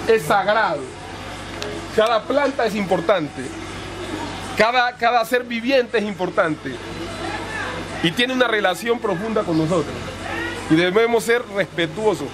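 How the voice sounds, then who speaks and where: A man speaking in a calm, earnest, measured way in a documentary interview. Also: high-pitched, a crowd in the background, noisy background.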